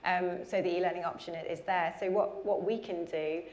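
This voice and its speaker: A woman's voice: lowish voice, fairly even intonation, normal speed, a little mellow. A woman answering questions on a conference panel, chatty with frequent ums. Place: conference hall.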